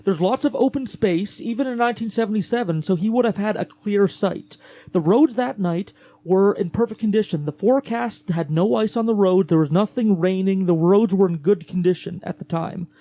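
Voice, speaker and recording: Higher-pitched man with a mellow voice. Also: moderate pace. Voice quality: voice gets gravelly later in the day. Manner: conversational, with fillers and restarts. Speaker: podcast host narrating a case. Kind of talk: casual true-crime storytelling.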